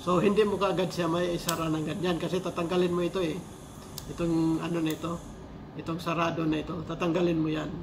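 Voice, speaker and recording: A man talks in a fairly high voice over clearly audible noise. He sounds like a casual gear reviewer on a vlog. His delivery is halting and explanatory, and he often repeats key words. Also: little pitch movement. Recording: clearly noisy amateur recording.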